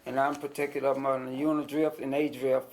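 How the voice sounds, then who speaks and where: A man's voice: medium pitch, level tone, normal speed, a little mellow. A man speaking as a defendant at a hearing, objecting insistently and repeating himself as he interrupts. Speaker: defendant at an arraignment. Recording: noisy courtroom recording.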